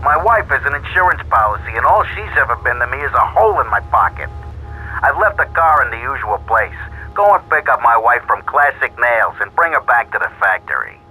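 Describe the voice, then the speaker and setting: An actor playing a crooked, embittered factory boss in a video game, brash and grumbling. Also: male voice, mid-range voice, animated, brisk, bright, forceful tone, slightly rough, full character voice, poor audio. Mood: bitter, cold. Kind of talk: video game cutscene acting.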